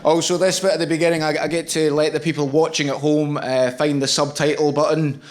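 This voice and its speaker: A man's voice: a little high, level tone, a little fast, very noisy. A Scottish man performing a stand-up comedy set, chatty and self-mocking, laughing at his own jokes. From Scotland. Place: large comedy venue with live audience. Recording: live show with loud crowd laughter.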